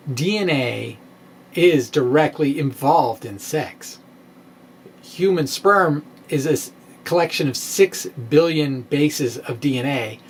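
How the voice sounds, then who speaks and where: A middle-aged man giving a dramatic reading of a science blog in a wry, theatrical manner with pointed pauses, close to a microphone in a small room. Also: medium pitch, a little hiss or hum. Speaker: science blogger and presenter. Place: small indoor room with bookshelves. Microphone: microphone on a stand, close in front.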